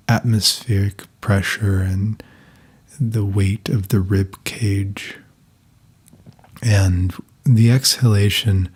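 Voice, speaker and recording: A man leading a guided meditation in a soft, calm, breathy voice, giving gentle instructions with long pauses. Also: on the low side.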